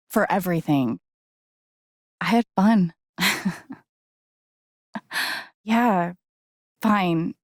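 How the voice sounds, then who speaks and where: A woman's voice: medium pitch, no room sound, natural voice. Actress doing a young american woman from a video game, heartfelt n a bit wry, natural chatty delivery, super dry recording. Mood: warm, teasing. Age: young adult. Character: young woman, sincere, emotionally open, gently wry game heroine.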